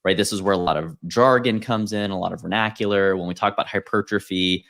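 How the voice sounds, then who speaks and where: A man hosting a podcast, explaining ideas in a measured, casual way and steering the conversation. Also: sits low, vocal fry, a bit bright. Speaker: podcast host.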